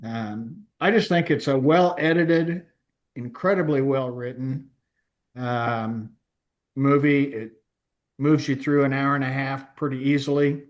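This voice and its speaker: A man in a film club giving his thoughts casually, in a halting, reflective way. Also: mid-range voice, steady tone, lo-fi sound. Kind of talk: casual group discussion.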